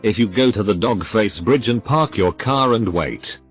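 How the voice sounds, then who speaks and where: Male narrator, low voice, lively intonation, scripted story reading, faint music underneath. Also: moderate pace, slightly rough. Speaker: narrator reading forum posts. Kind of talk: scripted narration of reader stories. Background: faint background music.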